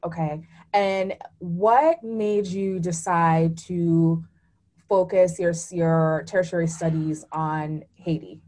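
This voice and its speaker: A woman's voice: on the low side, steady tone, slow pace, darkish tone. A woman hosting a casual podcast interview, playful and self-deprecating, who often stumbles over her words. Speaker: podcast host.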